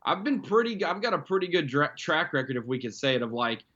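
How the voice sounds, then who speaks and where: A man's voice: on the high side, big pitch swings, quick pace, sharp tone. Chatty young man, slightly muffled. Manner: chatty, rambling storyteller. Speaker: guest. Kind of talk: casual chat.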